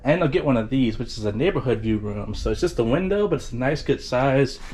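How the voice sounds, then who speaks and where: A man's voice: mid-range voice, expressive, quick pace, noisy background. A tired man giving a casual, informal tour as a travel vlogger, addressing viewers in a folksy way. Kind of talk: casual cruise ship tour commentary.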